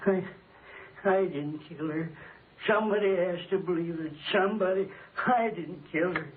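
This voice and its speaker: A man's voice: high voice, moderate pace, narrow, tinny audio, lightly acted. An actor playing an anxious, plaintive older man, a murder suspect, in a 1950s radio drama. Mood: pleading. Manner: plaintive, earnest, insistent. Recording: thin, noisy vintage radio recording.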